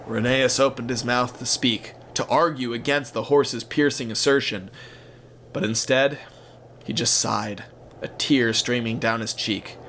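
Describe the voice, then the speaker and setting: A man's voice: medium pitch, fairly even intonation, a little slow, fairly bright tone, slight background noise. A man narrating a scripted story in a suspenseful, theatrical way. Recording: music behind the voice.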